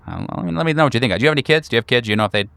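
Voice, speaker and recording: A man's voice: medium pitch, expressive, very fast, gravelly, fairly bright tone, dry recording, voice pushed up. A man voicing an eager, flighty bachelor writer who hesitates and repeats himself as he fusses over words. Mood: nervous, eager.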